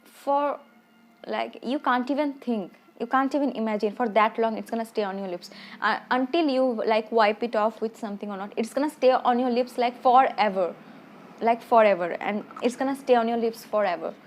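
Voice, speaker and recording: A woman's voice: a little high, moderate pace. A woman who rambles through a casual product review, full of restarts and fillers, a little self-conscious. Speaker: beauty vlogger.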